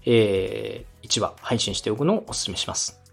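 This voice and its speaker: A man's voice: on the low side, moderate pace, gravelly, husky, faint music behind. A man hosting a podcast, explaining and giving advice in a polite, instructive way. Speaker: podcast host and radio director. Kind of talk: explanatory coaching talk on a podcast.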